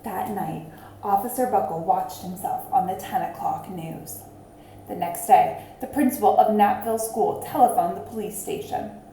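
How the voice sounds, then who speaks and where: A woman reading aloud expressively to children like a teacher, deliberate and addressing them directly. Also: medium pitch, creaky, warm tone, a little hiss or hum. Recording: roomy, echoing sound.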